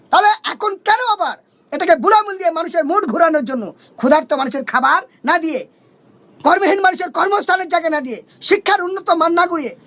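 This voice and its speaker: A man speaks in a strikingly high voice at a racing pace. He delivers a fiery political address as an activist, impassioned and combative, hammering his points through repetition. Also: fairly bright tone. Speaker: human rights activist.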